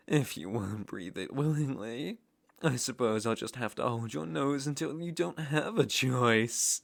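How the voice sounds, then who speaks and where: Man acting a slow, gravelly British obsessive ex-lover. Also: mid-range voice. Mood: smug, coaxing. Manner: slow, deliberate, mock-tender menace. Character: obsessive, menacing male ex-lover, possessive and mocking. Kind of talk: ASMR roleplay audio drama, intimate second-person acting.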